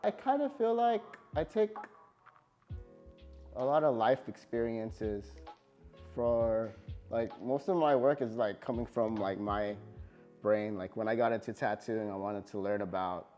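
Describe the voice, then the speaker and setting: A man from California, a tattoo artist, talking casually in an interview with a relaxed, halting manner full of fillers. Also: on the low side, faint music behind.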